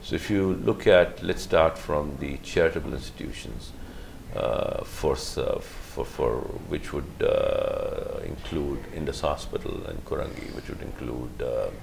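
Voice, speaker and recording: Man, middle-aged, extremely low voice, heavy vocal fry, hesitant, slight hiss behind. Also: animated, darkish tone. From Pakistan. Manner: halting, measured, many fillers and restarts. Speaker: guest, physician. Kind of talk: interview answers.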